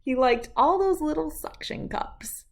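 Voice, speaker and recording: A woman reading a children's storybook aloud with expressive, deliberate, emphatic phrasing. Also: sits high, vocal fry. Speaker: children's book read-aloud host.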